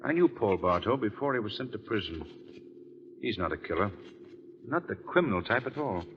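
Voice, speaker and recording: Composed male gentleman detective, low, widely inflected, reflective, muffled vintage radio. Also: sing-song, moderate pace. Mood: pensive. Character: thoughtful, composed gentleman sleuth. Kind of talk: old-time radio mystery drama acting. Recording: muffled old radio, faint hum.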